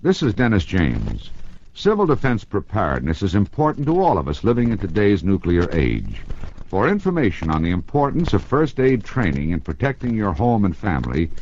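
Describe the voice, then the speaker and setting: Earnest vintage public service announcer. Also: male voice, on the low side, huge pitch swings, normal speed, a little mellow, slight background noise. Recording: dull vintage broadcast recording.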